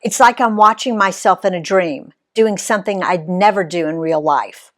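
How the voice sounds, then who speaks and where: A woman reading her own travel story aloud in an expressive read-aloud style, giving the quoted people voices of their own. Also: lowish voice. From Alabama, USA. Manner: unhurried, lively reading that voices the quoted characters.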